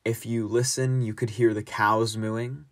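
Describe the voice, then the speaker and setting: A man's voice: fairly low pitch, flat delivery, measured pace. A young man student reading scripted narration in the style of a tour guide addressing listeners. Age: young adult.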